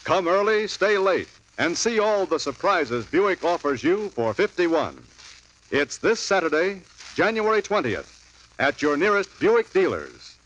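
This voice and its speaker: A man's voice: sits high, sing-song, a little slow, fairly bright tone. A man reading a sponsor's advertisement and introduction in upbeat 1950s radio style. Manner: upbeat, selling. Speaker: sponsor announcer. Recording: dull old radio broadcast.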